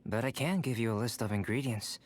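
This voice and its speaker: A man voicing a calm, courteous young scholar-alchemist with a measured, formal manner in an anime video game. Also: medium pitch, close to an everyday voice. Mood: thoughtful. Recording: faint music behind the voice.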